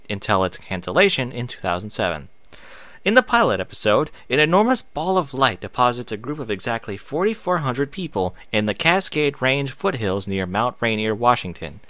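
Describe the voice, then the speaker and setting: A man's voice: medium pitch, bright, forceful tone, dry recording. A man reading aloud in a casual way, sometimes stumbling and slipping into off-script asides. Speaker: online reader and streamer.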